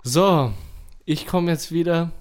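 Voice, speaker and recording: A man's voice: on the high side, slow, smooth. A man chatting informally as a podcast co-host. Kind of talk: casual chat.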